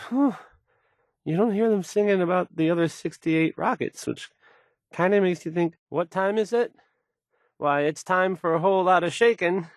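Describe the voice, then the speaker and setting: An actor playing a painfully shy young radio DJ in a video game, hesitant and apologetic, stammering and fumbling for words. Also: male voice, fairly high pitch, dry recording, studio quality, close to an everyday voice.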